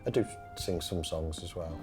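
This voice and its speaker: Deep-voiced man in his fifties, earnest. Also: moderate pace. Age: 50s. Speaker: band rhythm guitarist. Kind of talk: documentary interview. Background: music bed.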